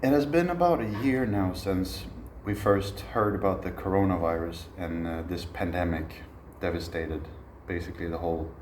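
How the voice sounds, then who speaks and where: Swedish-born guy in his 30s, low voice, talks music in a roomy echoey space, bit of hiss. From Sweden. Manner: relaxed, reflective, frequent "uh" pauses. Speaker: musician teaching a masterclass. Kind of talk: informal spoken lecture.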